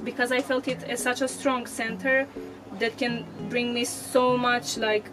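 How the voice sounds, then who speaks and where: A woman from Serbia speaks in a fairly high, steady voice that stays level rather than rising and falling. She reflects thoughtfully in a group circle, often pausing and filling gaps with "like." Music plays clearly beneath her. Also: rough recording. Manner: thoughtful, searching for words with many "like" fillers. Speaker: workshop participant.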